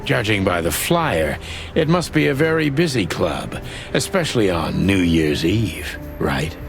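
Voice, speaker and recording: A hardboiled, weary detective in a noir video game. The voice sits very low and stays laconic and dry, telling the story wryly over clearly audible music. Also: male voice, unhurried, exaggerated voice. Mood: wry, courteous. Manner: deadpan, wry, laconic. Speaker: detective and narrator. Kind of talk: scripted noir narration and game dialogue. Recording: music behind the voice.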